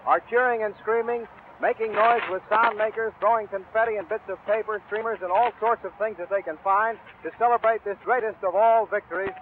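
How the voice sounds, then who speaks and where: Male American live reporter, 1940s commentary, high voice, descriptive flow, dull archival audio with faint noise. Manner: descriptive, fluent, formal address to listeners. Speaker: radio reporter. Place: outdoors on a hotel balcony. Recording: muffled, faintly noisy 1940s outdoor broadcast.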